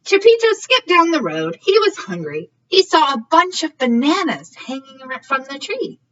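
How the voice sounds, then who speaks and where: A woman's voice: high voice, big pitch swings, moderate pace, fairly bright tone. A woman reading a story aloud for young children in a teacherly way, often checking in with questions. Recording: slightly muffled, distant mic.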